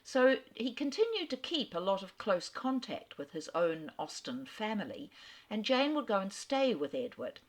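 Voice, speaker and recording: A woman's voice: mid-range voice, big pitch swings, moderate pace. A woman from Australia telling literary history in an articulate, storytelling lecture manner, with the odd filler. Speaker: speaker on famous authors. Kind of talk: lecture-style literary talk.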